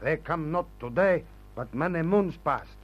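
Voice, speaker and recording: Deferential African tracker, terse broken English, solemn, highish voice, dull old radio serial sound. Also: male voice, animated, a little slow, faint music behind. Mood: solemn, sure. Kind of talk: old-time radio adventure serial acting.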